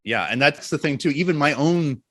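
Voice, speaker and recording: A man speaking as an interview guest and voice actor, telling stories in an expressive, jokey, self-deprecating way. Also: a little high, brisk, no room sound. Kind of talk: animated interview storytelling.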